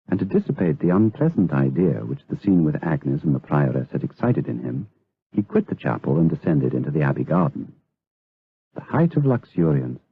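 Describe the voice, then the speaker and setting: An actor serving as the grave storyteller of a gothic radio drama, narrating in a measured, literary style. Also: male voice, very deep voice, creaky, slightly rough, narrow, tinny audio, heavily acted.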